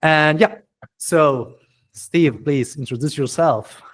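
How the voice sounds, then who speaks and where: A man's voice: fairly high pitch, takes it slowly. Enthusiastic man hosting, English speaker. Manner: enthusiastic, chatty, non-native English phrasing. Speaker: meetup host and presenter. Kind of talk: casual, informal event presentation.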